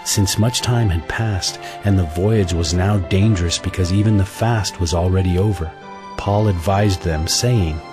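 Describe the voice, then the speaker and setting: A man narrating a scripture audio drama in a measured, solemn storytelling manner, reading from a script. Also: deep voice. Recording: music bed under the voice.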